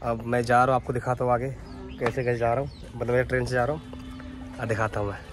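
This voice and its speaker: A man's voice: medium pitch, little pitch movement, fast talker, music in the background. A young man from Assam, India, chatting casually to camera as a travel vlogger on a phone held at arm's length. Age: young adult. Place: outdoors in village, station platform and moving train. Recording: noisy outdoor and train phone recording. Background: street, vehicle and train noise.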